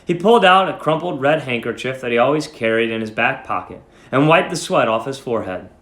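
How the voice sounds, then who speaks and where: A man speaking as a teacher, reading and instructing in an expressive, emphatic way. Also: mid-range voice, brisk. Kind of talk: dramatic story reading and lesson instruction. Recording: echoey room.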